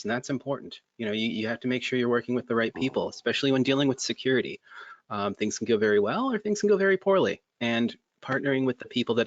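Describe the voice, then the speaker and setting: A man's voice: medium pitch, a bit bright, no echo. Man on a dull lo-fi video call. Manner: chatty, many restarts and fillers. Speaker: guest, MSP creative director. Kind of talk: casual webinar chat.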